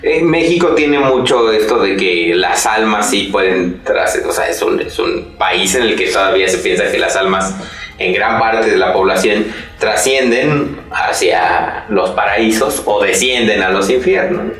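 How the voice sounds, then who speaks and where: A man's voice: lowish voice, a little slow, fairly bright tone, very echoey, recorded from a distance, rough recording. A man with a Mexican accent hosting a casual chat, joking and rambling with lots of fillers. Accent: Mexican Spanish. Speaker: podcast host.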